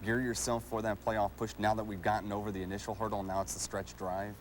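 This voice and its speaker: A man's voice: fairly low pitch, little pitch movement, quick pace, slight background noise. A man hosting a sports show, interviewing a player in a broadcast style.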